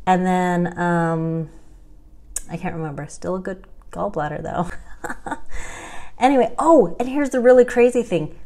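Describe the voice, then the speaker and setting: A woman's voice: on the low side, unhurried, long pauses, dark tone. A woman in her thirties talking to a webcam at home, chatty and wry, laughing often as she tells her story. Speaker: vlogger sharing her health journey. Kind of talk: casual talking-head vlog. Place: indoors at home, small room.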